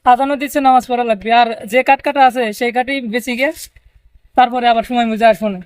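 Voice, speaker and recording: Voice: medium pitch, fairly even intonation, a little fast, clean tone, dry recording, close to an everyday voice. A performer playing a determined male woodcutter, practical and rustic. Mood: resolute.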